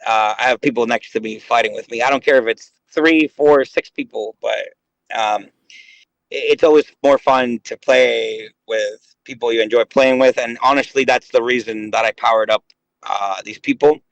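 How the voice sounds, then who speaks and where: A man's voice: mid-range voice, steady tone, normal speed, vocal fry, no echo, muffled recording. A man in his late thirties chatting casually on a voice call, rambling and hesitant with many fillers. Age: 30s. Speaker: gaming guest. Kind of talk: casual chat.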